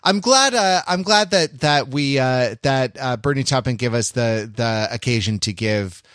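A man's voice: medium pitch, a little slow, fairly bright tone, clean tone, no echo. Dry close-miked male podcast host. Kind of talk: casual wry discussion.

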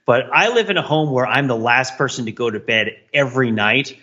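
A man's voice: medium pitch, expressive, brisk, pushed and bright. A man co-hosting a podcast, chatting casually about his own life. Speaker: podcast co-host. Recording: dull, lo-fi microphone sound.

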